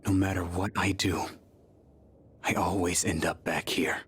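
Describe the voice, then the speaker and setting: An actor playing a gruff, guilt-ridden young tough guy in a video game, with blunt and weary speech. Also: sits low, steady tone, unhurried, a bit bright, heavily acted. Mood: weary, resigned. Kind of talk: English video game voice acting. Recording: music under the voice.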